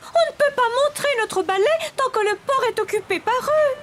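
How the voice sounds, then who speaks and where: An excitable young cartoon mermaid. The voice is extremely high and emphatic, set over clear music. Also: female voice, normal speed. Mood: indignant. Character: excitable, nervous, indignant young female mermaid. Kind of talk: cartoon dub acting.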